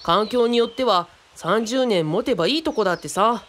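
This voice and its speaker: A woman's voice: mid-range voice, animated, moderate pace, a little hiss or hum, natural voice. A woman playing a friendly, boyish youngster who explains things casually and patiently in a radio drama. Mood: enthusiastic.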